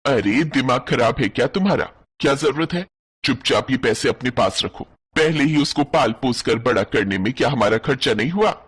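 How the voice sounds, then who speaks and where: A man's voice: medium pitch, animated, normal speed, fairly bright tone, slightly rough. A man voicing a stingy, bossy older village aunt, snapping dismissively in an audio folk story. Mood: bossy, irritated.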